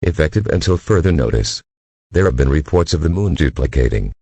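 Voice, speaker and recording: A very low, husky male voice reads a formal civil emergency bulletin. The pitch swings around a fair amount, and the sound is dry and close. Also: lively intonation, fairly quick, dark tone, no room sound. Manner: formal official announcement. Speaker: emergency alert announcer.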